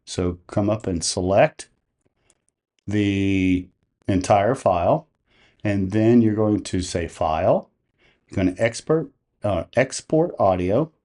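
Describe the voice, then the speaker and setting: Mellow man giving step-by-step instructions. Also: on the low side, takes it slowly, long pauses. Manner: methodical, plain-spoken instruction. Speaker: tutorial presenter. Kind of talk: step-by-step software tutorial narration.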